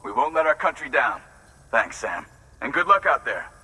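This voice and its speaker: Animated, bright-voiced eager outpost guy. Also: medium pitch, moderate pace, recorded from a distance, low-quality recording. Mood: hopeful, fired up. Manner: excitable, casual American slang. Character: upbeat eager male outpost worker, friendly, starstruck. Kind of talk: video game cutscene acting.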